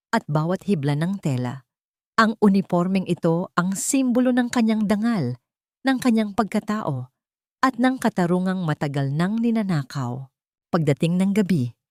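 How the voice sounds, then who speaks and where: A woman's voice: lowish voice, darkish tone, no echo, studio quality. A woman reading a scripted love drama as its narrator, in a dramatic storytelling style.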